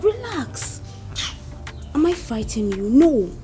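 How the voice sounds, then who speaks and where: A woman playing an insistent young woman who speaks in a low, firm and pressing way. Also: big pitch swings, slow, dark tone, heavily acted. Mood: coaxing. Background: music.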